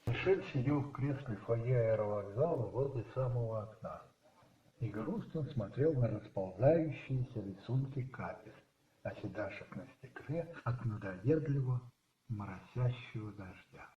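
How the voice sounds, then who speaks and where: A man narrating a radio play as dramatic literary storytelling, musing and rhetorical, with dramatic pauses. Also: medium pitch, slight background noise. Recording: roomy, slightly muffled, with music behind.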